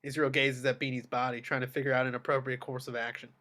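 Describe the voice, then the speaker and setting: A man's voice: medium pitch, flat delivery, quick pace, bright, forceful tone. A man narrating a screenplay's action descriptions at a table read. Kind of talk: screenplay stage-direction reading.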